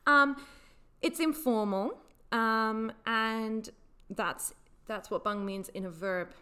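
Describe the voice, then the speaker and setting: Bright-voiced woman chatting, fairly high. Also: slow pace, smooth. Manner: teasing, playfully correcting. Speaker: podcast co-host. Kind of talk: casual chat explaining slang words.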